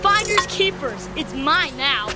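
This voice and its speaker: A woman voicing a bratty, taunting young boy in a radio drama, with loud street effects behind. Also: high-pitched, big pitch swings, slow pace, loud music behind the voice, full character voice. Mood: gleeful, taunting. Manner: taunting, exclamatory.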